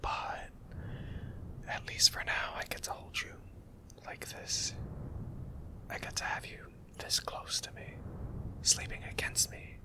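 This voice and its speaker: Male ASMR boyfriend voice, whispered throughout, very slow, soft and hesitant. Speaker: ASMR boyfriend roleplay narrator.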